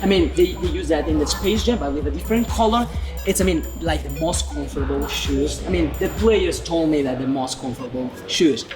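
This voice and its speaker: A man conducting an informal sports interview in halting, repetitive English. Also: a little high. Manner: halting, repetitive phrasing in non-native English. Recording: music playing behind the voice. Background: background music.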